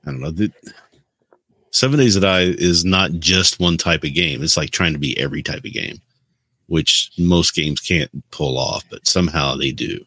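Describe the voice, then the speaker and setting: A man's voice: rumbling low, normal speed, pushed and bright, husky, no echo. A man on a podcast panel talking casually about video games.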